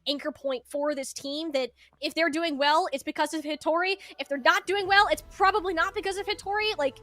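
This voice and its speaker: A woman's voice: high voice, pushed and bright. A woman giving conversational live esports commentary, chatty and emphatic with informal slang. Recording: music behind the voice. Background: music.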